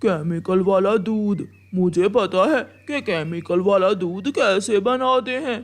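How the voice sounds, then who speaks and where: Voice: medium pitch, slow. A performer voicing a scheming crow uncle in a children's cartoon. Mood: sly.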